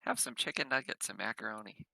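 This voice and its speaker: Male tabletop gamer, intensely bright tone, relaxed chatty roleplay, dry close recording. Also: lowish voice, quick pace, creaky, no room sound, poor audio. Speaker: player in a tabletop roleplaying game. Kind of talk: casual roleplay narration and chat.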